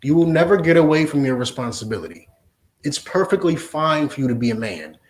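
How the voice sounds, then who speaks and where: A man teaches a religious class in a forceful, insistent preaching style, checking again and again that his listeners follow. His voice sits fairly high for a man. The room around him rings with echo. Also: lively intonation, fairly quick. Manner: forceful, repeats "you understand?". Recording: echoey room.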